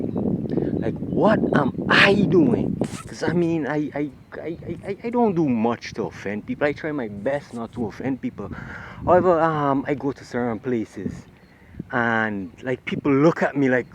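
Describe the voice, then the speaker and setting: A man's voice: fairly high pitch, sing-song, unhurried, creaky. A man rambling in an agitated, profane monologue while walking outdoors on a city street. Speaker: vlogger. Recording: street traffic noise behind.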